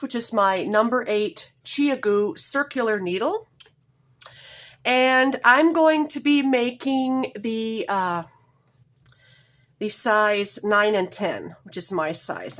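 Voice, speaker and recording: A woman with a somewhat high voice, a little hoarse from a cold, walks viewers through a knitting project. She talks slowly and homely, apologizing often and stopping to correct herself along the way. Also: animated, fairly bright tone. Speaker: knitting tutorial host. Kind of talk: casual step-by-step craft tutorial.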